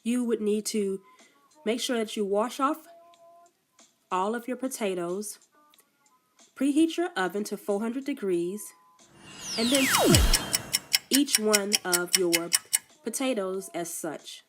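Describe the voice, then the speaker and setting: A woman's voice: mid-range voice, expressive, takes it slowly, long pauses. Woman, congested from a cold, casual step-by-step cooking instructions, faint music behind. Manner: plain step-by-step instructions with frequent coughs. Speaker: cook. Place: food truck kitchen.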